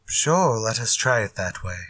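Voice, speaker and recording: An actor playing a frustrated, plain-spoken writer in a retro-style radio drama. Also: male voice, fairly low pitch, very animated, measured pace, a bit bright, heavily acted. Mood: hesitant, wary. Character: frustrated male writer stuck on his murder story.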